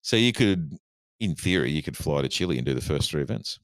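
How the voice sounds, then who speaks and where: A man's voice: a bass voice, lively intonation, brisk, a bit bright, dry recording, rough recording. A man, co-hosting a casual podcast chat in an easygoing way. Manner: easygoing, reads out figures. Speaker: podcast co-host.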